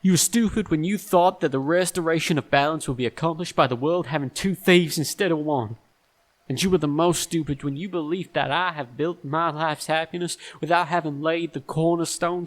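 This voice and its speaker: An actor playing a stern, shrewd, self-righteous man in an audio stage drama, speaking in a deliberate, accusatory and emphatic way. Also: a little high, natural voice. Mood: scornful.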